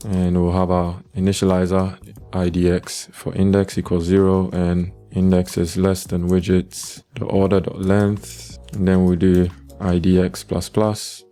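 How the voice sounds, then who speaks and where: A man teaches programming step by step in a screencast. His voice is low and dark, with very little rise and fall, and he sounds calm and methodical. Faint music plays behind him. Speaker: programming tutorial instructor. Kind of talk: step-by-step screencast coding tutorial.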